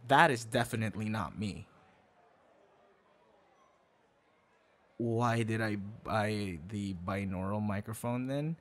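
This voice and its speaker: A man's voice: medium pitch, a bit bright, full character voice. A man acting a tender, secretive young man on a date in an ASMR boyfriend roleplay, easily flustered. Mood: flustered, defensive. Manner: slow, intimate, with halting stammers when flustered.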